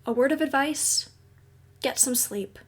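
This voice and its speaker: Female actor as kindly facility agent for audio roleplay: high voice, slow delivery, reassuring and explanatory. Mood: caring. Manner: reassuring, gently explanatory, conversational. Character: kindly, professional woman agent at a secret psychic facility. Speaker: agency guide briefing a newcomer. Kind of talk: audio roleplay, acted monologue to the listener.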